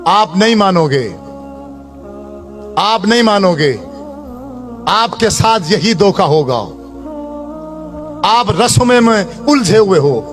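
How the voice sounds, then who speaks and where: High-pitched middle-aged man preaching. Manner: exhorting, repetitive, rhetorical. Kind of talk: impassioned sermon with recited prayers.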